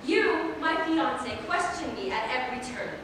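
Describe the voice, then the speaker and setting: A woman's voice: sits high, lively intonation, normal speed. A woman playing a bitter, imperious young princess in a staged reading, curt and dismissive under her burdens. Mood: bitter exasperation. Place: large reverberant room at a live staged reading. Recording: distant echoey hall with background noise.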